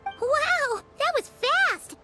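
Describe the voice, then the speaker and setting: A very high, bright little voice, a tiny childlike game companion. She bounces all over her range, eager and bubbly, cheering on every step. Game voice acting with faint music behind. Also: lively intonation, very slow, heavily acted. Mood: excited.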